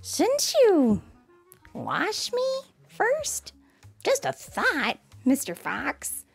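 Woman putting on a little male piglet, high squealy voice, plaintive, slyly innocent, sing-song swoops, children's storybook. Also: huge pitch swings, extremely slow, gravelly, faint music behind, full character voice. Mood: sly, coaxing. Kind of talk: children's picture-book read-aloud.

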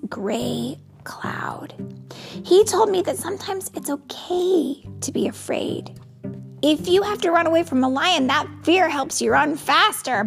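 A woman voicing a friendly, adventurous cloud in a children's storybook, speaking softly and calmly. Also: high voice, gravelly, voice pushed up, heavily acted. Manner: soft, calm, encouraging.

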